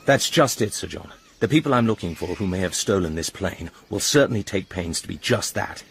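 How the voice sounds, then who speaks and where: Suave male secret agent, low pitch, gravelly, cool and dry, radio drama. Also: animated, a little fast, a bit bright, slight background noise, heavily acted. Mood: probing, businesslike.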